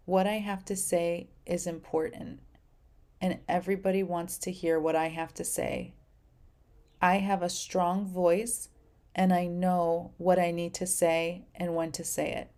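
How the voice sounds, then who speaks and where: Soft-spoken, mellow-voiced woman podcaster. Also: on the low side. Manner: gentle, encouraging, speaks in affirmations. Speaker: podcast host. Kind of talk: casual solo podcast talk.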